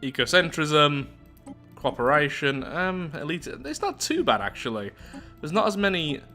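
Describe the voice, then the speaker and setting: A man's voice: on the high side, very animated, unhurried, bright, forceful tone, soft background music. A man giving casual commentary on a strategy game playthrough, thinking aloud as he goes. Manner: thinking aloud, musing to himself.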